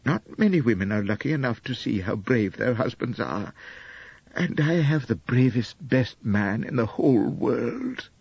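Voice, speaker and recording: A man voicing a sweet, silly, adoring young British wife, gushing artlessly in a breathy audiobook performance. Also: medium pitch, lively intonation, measured pace, a little mellow, dry recording, muffled recording, exaggerated voice. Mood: admiring, solemn. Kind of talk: audiobook character acting.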